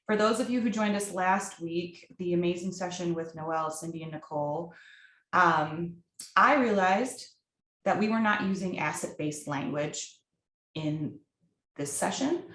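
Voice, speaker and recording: A woman opening and hosting an online workshop, speaking deliberately with some fillers over a video call. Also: fairly low pitch, fairly bright tone, roomy sound, rough recording. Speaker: workshop host.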